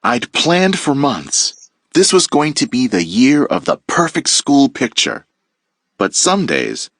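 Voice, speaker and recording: A man teacher reading a children's picture book aloud with playful, expressive storytelling. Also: mid-range voice, no echo, clean studio sound.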